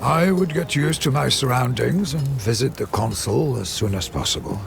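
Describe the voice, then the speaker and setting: An actor playing a wise, wry and devoted servant of a Roman noble house, measured and courteous, in a game. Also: male voice, medium pitch, gravelly, natural voice. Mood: advising. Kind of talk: scripted game dialogue.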